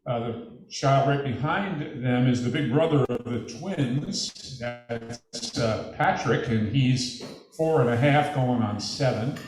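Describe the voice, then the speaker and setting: A man's voice: mid-range voice, slow. An older man chatting casually with dry humor, often stopping with "uh.". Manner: rambling, dry humor, many "uh"s. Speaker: club member. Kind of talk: casual chat. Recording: very echoey, distant mic, faint noise.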